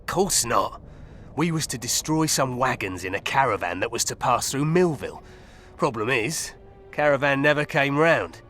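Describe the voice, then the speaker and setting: A rough, cocky hired thug, played by a man. The voice is forceful and swings widely in pitch, crude and defiant, with game music underneath. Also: lively intonation, moderate pace, lightly acted. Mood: grudging. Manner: crude, blunt, colloquial. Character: coarse, foul-mouthed male hired thug, cocky and defiant. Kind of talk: video game voice acting.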